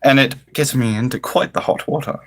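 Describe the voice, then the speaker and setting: A man's voice: medium pitch, normal speed, a bit bright. A man chatting casually to livestream viewers in an affected British-style accent, with mock-formal, flowery wording and a theatrical manner.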